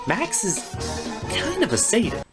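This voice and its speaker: A man's voice: high voice, huge pitch swings, a little slow. A man giving a scripted, opinionated comedic review as a cartoon critic and host. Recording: music behind the voice.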